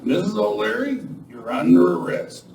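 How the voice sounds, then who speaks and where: Western radio-play sheriff played by a man: folksy, matter-of-fact, high for a man, heard from across the room. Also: lively intonation, normal speed, creaky, noisy background, exaggerated voice. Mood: firm. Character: plain-spoken small-town Western sheriff. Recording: echoey room, distant mic.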